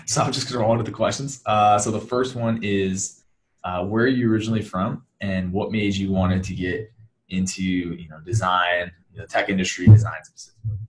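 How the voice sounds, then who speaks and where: A man hosting an interview, asking questions in a relaxed way with short acknowledgements. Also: low voice, moderate pace. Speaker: podcast host.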